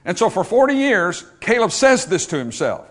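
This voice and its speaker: Man, preacher, sermon, fairly high-pitched, loud peaks and hushed drops. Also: sing-song, normal speed. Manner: swings from loud emphatic peaks to quiet low asides.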